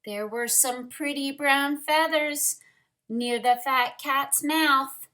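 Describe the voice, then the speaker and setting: Female storytime reader for young kids: very slow, bright delivery, expressive narration, wondering asides to listeners. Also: sits high. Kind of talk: read-aloud picture book narration with asides to children.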